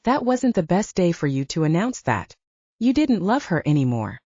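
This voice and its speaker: A woman's voice: lowish voice, sing-song, normal speed, mellow, breathy edge, dry recording. A woman reading the lines of a blunt, mocking male werewolf friend in an audiobook. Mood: teasing. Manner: mocking, frank. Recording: dull dry lo-fi audio.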